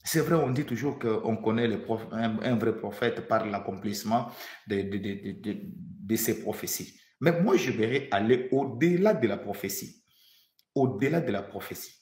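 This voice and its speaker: A man's voice: on the low side, expressive, normal speed, darkish tone, reverberant. A man from the Democratic Republic of the Congo preaching a prophetic sermon, fervent, repeating phrases for emphasis and stammering when he restarts.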